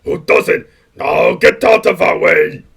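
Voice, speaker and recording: A gruff, world-weary policeman in a comic radio play. His voice is extremely gravelly and husky, a bit high, and he talks slowly and bluntly. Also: fairly bright tone, exaggerated voice.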